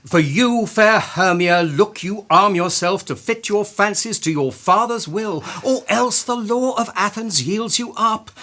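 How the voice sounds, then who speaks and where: A man's voice: high-pitched, unhurried, hardly any pauses, pushed and bright. A man playing a stern, commanding ruler of Athens, formal and authoritative in a Shakespearean radio play. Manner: formal, authoritative verse delivery.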